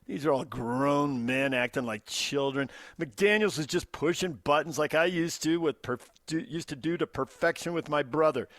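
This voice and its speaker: A man's voice: fairly high pitch, normal speed, hardly any pauses, fairly bright tone, no echo. An American man co-hosting a sports talk radio show, bantering and reading out comments in punchy, emphatic short phrases. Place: radio studio. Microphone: close studio microphone.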